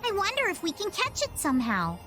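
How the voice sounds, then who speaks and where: A woman's voice: very high-pitched, huge pitch swings, brisk, music in the background, heavily acted. A woman voicing a plucky young pirate girl, a cocky know-it-all, in an anime video game dub. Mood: relishing.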